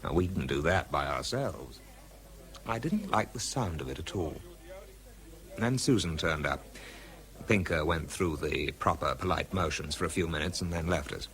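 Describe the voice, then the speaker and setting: A man's voice: rumbling low, lively intonation, moderate pace, fairly bright tone, heavily acted. An actor playing a weary, sardonic English wartime man in a British radio drama, dry and understated as he narrates and talks. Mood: dry, guarded.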